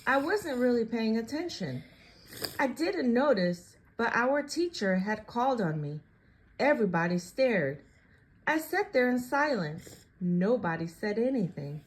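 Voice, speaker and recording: A woman reading a children's picture book aloud in an animated, expressive and deliberate storytelling style. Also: fairly high pitch.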